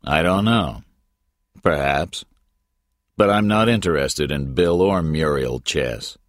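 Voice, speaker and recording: A man voicing a terse, matter-of-fact private detective in an audiobook, with a deadpan manner. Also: rumbling low, slow pace, dry recording, studio quality. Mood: cool, guarded. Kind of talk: audiobook character voice.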